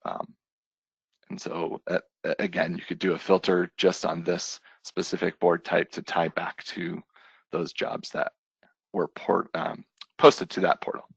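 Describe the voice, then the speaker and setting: Brief: low, gravelly, husky male presenter; relaxed demo delivery, full of ums; dry, dull web-call sound. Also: measured pace, dry recording. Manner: relaxed, many fillers and restarts. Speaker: software consultant giving a demo. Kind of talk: screen-share product demo.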